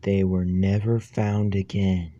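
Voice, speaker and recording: A man improvising an amateur campfire horror story in a halting, ominous manner. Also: sits low, flat delivery, dark tone, lo-fi sound. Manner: halting, ominous, stumbling over phrases.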